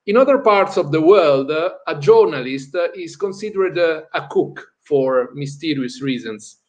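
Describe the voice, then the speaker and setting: Hesitant Italian-accented interviewer, slightly high voice. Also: male voice, animated. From Italy. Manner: hesitant, many pauses and fillers. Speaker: interviewer, host. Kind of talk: interview questions.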